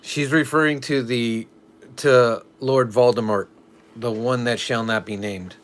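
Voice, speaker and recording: A man's voice: mid-range voice, a little slow. A man co-hosting a live stream, chatting casually, joking and reading the chat, sometimes dropping to a whisper. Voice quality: animated, jokey, drops to a whisper at times. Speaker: livestream co-host. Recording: faint background noise.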